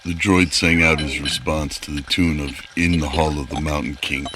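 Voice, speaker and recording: A man's voice: extremely low pitch, steady tone, moderate pace, background noise. A man narrating a scripted radio drama.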